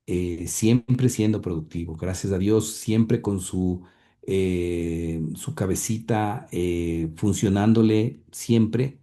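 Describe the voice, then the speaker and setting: Hesitant low-voiced man, very dark tone. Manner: hesitant, many fillers and repeated words. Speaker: interview guest, grandson of the subject. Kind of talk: conversational interview answer.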